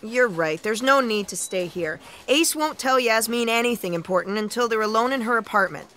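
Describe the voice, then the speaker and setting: A woman's voice: on the high side, lively intonation, moderate pace, fairly bright tone. A woman playing an imperious, businesslike boss in a sci-fi audio comedy drama. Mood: decisive. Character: imperious female boss editing the book of destiny.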